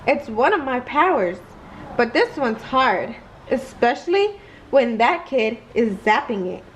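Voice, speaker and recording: A woman's voice: a little high, sing-song, a little slow, a little hiss or hum, poor audio. A woman voicing a shy, bookish boy space hero in an earnest, modest way for a children's storybook. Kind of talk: children's storybook read-aloud.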